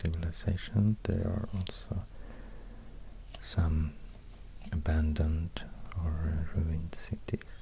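A man with a Swedish accent whispering soft-spoken ASMR in a hesitant, unhurried way, pausing mid-sentence, close to a binaural microphone. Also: extremely low pitch, little pitch movement. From Sweden. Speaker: ASMR video creator. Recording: close binaural recording with clear background noise.

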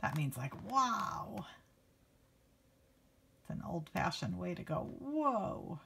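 Slow-paced woman reading a storybook aloud. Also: on the low side, creaky, a little hiss or hum. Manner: expressive, stretching key words for young listeners. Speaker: teacher reading a picture book aloud. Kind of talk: read-aloud storytelling with casual asides to children. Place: indoors, book held before a handheld camera.

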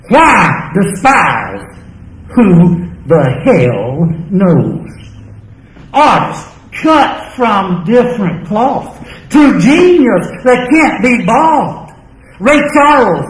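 An older man giving a spoken-word recital at a live reading, with deliberate, dramatic phrasing and pauses, speaking into a stand microphone. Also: high voice. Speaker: spoken-word performer and lyricist. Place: small indoor venue stage. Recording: roomy live microphone sound.

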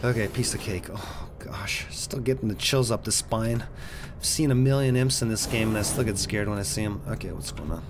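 A man's voice: on the low side, brisk. A man giving casual, rambling play-through commentary as a gaming video host. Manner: chatty, rambling, jumpy exclamations. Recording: noisy voice-over with game sounds. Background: video game sounds.

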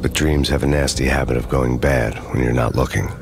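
An actor playing a grim, world-weary American police detective in a video game, speaking in measured, hardboiled style. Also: male voice, a bass voice, steady tone. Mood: grim, brooding. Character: brooding, world-weary New York police detective, man. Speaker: narrator and protagonist detective. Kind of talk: hardboiled noir scripted narration. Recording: music behind the voice.

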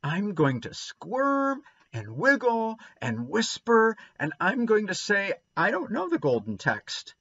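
A man's voice: fairly high pitch, huge pitch swings, unhurried, fairly bright tone, husky, dry recording, pitched above the performer's own voice, full character voice. A man reading aloud and voicing a defiant, rebellious young boy who talks indignantly and boastfully, on a dull lo-fi recording.

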